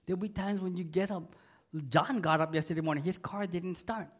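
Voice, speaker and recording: A man's voice: high voice, brisk. A middle-aged male pastor preaching a sermon in a church. He is losing his voice from a cold and talks emphatically, repeating key words and asking the congregation for an amen. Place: church sanctuary.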